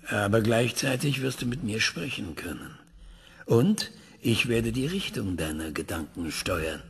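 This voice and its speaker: An actor playing an obsessive older male scientist who questions an AI in a calm, measured, probing tone, in a radio play. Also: fairly low pitch, long reverb tail.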